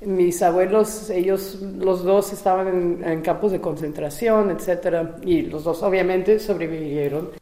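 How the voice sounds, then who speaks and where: A woman, a playwright giving an interview, talking in a casual recollecting way with pauses, fillers and uneven pace. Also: lowish voice, steady tone, dark tone, echoey room, poor audio. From Venezuela. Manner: hesitant, restarts and fillers, speeds up and slows down.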